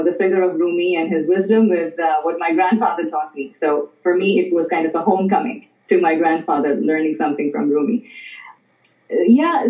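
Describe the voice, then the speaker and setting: Guest scholar, Urdu, measured, dull lo-fi audio. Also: medium pitch. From Pakistan. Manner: measured, explanatory. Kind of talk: conversational interview. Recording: distant, roomy, dull remote audio.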